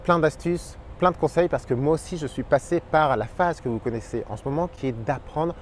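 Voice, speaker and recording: Energetic man teaching to camera outdoors. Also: on the high side, fairly quick, a little hiss or hum. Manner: energetic, expressive, gestures with his hands. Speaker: Spanish teacher and channel host. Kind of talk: talking to camera, explaining tips. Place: outdoors by a pond in a park. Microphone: lapel mic.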